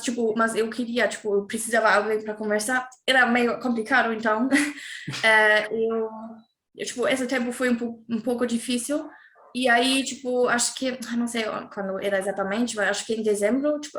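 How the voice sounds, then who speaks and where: A woman talks casually as a guest, speaking a language she learned later. Her voice sits a little high, and she often stops to search for words and fills the gaps. The room around her sounds echoey. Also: steady tone, normal speed, a bit bright. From Germany. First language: German. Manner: hesitant, searching for words, many fillers. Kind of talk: casual interview chat.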